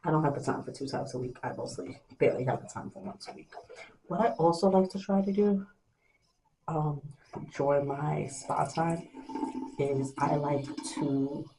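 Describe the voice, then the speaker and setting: A young woman vlogger in a small room at home, talking softly and rambling to the camera at night, sometimes losing her train of thought. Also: normal speed, slight background noise, poor audio. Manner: soft and low-voiced at night. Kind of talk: casual late-night chat to camera.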